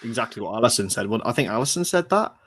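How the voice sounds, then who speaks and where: A man's voice: mid-range voice, animated, racing, fairly bright tone, no room sound. A man joking and bantering casually about football. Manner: jokey, teasing. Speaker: podcast panelist.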